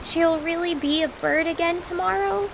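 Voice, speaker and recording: Casting: woman voicing earnest young girl apprentice, high pitched, simple childlike delivery, fantasy radio theatre, stream ambience. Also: moderate pace, vocal fry, background noise. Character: sweet, earnest young girl student of magic. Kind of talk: fantasy radio drama acting. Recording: steady rain and stream ambience behind.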